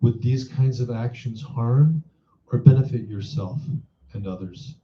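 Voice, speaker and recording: A man guides a meditation at a very slow, measured pace, with an average-pitched voice. He teaches by putting reflective questions to the listener. The recording is dull, with a clear roomy echo. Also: dark tone, a little hiss or hum.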